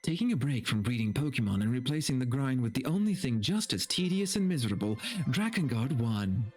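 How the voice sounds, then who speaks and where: A man's voice: medium pitch, sing-song, brisk, a little mellow. Theatrical man reading aloud over music. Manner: theatrical, profane, amused asides. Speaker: streamer reading a story aloud. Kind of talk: dramatic reading with casual, incredulous commentary. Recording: background music under the voice. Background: video game music.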